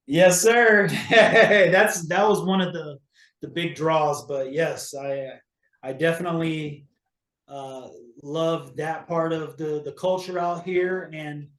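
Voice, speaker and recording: A man's voice: fairly high pitch, steady tone, slow, a bit bright, reverberant. A man from Hawaii, a real estate agent, talking casually as an interview guest, with many fillers and restarts.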